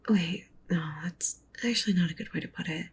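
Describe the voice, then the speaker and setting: A dominant, doting wolf witch girlfriend played by a woman murmurs possessively in an intimate ASMR roleplay. Her voice is fairly low and breathy, slipping into whispers with a crackly fry. She coaxes with pet names and soft commands over faint background noise. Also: a little fast, natural voice.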